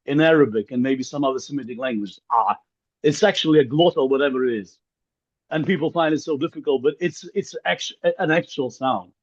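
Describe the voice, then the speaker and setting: A man's voice: mid-range voice, fairly quick. A man whose first language is Ukrainian, joining a workshop discussion on a video call, hesitant and restarting his phrases often. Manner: hesitant, many restarts and repeated words. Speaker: workshop participant. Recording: muffled lo-fi call audio.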